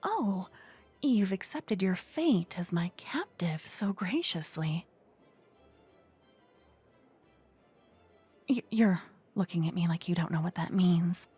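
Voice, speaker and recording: A woman playing a flirtatious, witty royal tailor in a scripted fantasy ASMR roleplay, breathy and often whispering, with a teasing, refined, gossipy manner, close to a binaural microphone. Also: lowish voice, expressive, unhurried, warm tone, faint music behind, exaggerated voice. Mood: teasing, confident.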